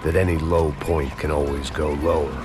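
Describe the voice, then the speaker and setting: An American man playing a weary, cynical middle-aged ex-cop antihero, narrating in a deadpan, hard-boiled noir style for a video game. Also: very deep voice, moderate pace, background noise. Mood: grim, sardonic. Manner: deadpan, sardonic, world-weary. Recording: music playing behind the voice.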